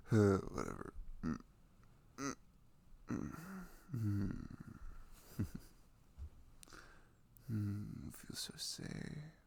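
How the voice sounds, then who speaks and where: A young man playing a sweet, playful boyfriend in an intimate ASMR roleplay, rambling softly and casually close to the microphone. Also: medium pitch, vocal fry, dry recording. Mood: tender, affectionate. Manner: soft, rambling, frequent chuckles and hums. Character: sweet, playful, affectionate boyfriend cuddling his partner.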